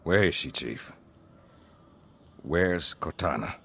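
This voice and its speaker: An actor playing a gruff, wisecracking male marine sergeant major, gravelly, wry and commanding. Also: very deep voice, lively intonation, slow pace, a bit bright, heavily acted. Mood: exasperated, concerned.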